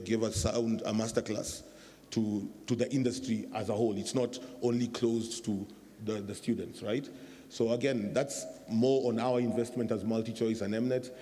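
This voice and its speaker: A man's voice: fairly low pitch, warm tone. A man talking on a conference panel, often repeating words as he gathers his thoughts. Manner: repeats words while thinking, casual asides. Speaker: industry executive on a panel. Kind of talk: panel talk. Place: conference hall. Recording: long reverb of a hall.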